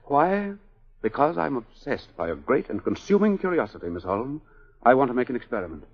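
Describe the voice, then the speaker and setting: Casting: suave, obsessed male surgeon, commanding, very animated intonation, vintage radio melodrama, muffled sound. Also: medium pitch, breathy edge. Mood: intense, grave. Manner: deliberate, theatrical, commanding. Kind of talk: 1940s radio melodrama acting. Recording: muffled old 1940s radio recording.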